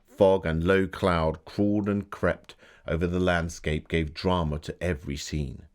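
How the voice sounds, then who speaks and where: Victorian gentleman author, low-pitched, theatrical scripted storytelling, formal and dramatic. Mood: inviting, ominous. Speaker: voice actor.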